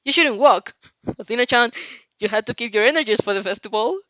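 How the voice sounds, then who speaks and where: A young woman voicing a passionate, excitable teenage boy hero who bellows and exclaims in an anime fan fiction. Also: fairly high pitch, fairly quick, dry recording. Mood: bellowing, excited.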